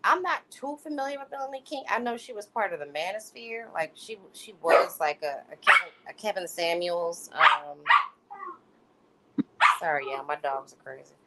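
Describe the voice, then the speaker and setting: A woman's voice: lowish voice, lively intonation, normal speed, very noisy. A woman hosting a livestream, reading messages aloud and chatting casually in commentary. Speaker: livestream host.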